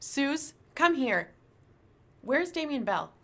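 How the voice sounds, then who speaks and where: A woman's voice: high voice, big pitch swings, slow pace, a bit bright, heavily acted. A woman playing an anxious, shaken young woman in a radio drama. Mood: alarmed, demanding. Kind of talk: radio play acting.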